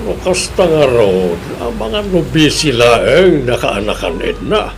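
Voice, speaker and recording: A man's voice: medium pitch, sing-song, unhurried, gravelly, slight background noise. A man playing a suspicious, grumbling older village husband with an Ilocano accent in a Filipino radio comedy drama.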